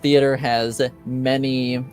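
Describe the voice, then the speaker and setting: A game master narrating a tabletop roleplaying game casually, with halting, hesitant phrasing. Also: mid-range voice, flat delivery, darkish tone, faint music behind.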